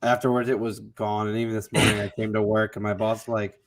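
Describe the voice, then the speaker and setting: Laid-back podcast co-host. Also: male voice, lowish voice, little pitch movement, fast talker, a loud crowd. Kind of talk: casual podcast chat.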